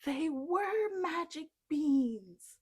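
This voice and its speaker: A woman's voice: high-pitched, expressive, extremely slow, pitched above the performer's own voice, full character voice. A woman voicing a naive, eager young boy in a children's storybook. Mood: amazed. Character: Jack, a naive, eager, kind-hearted boy.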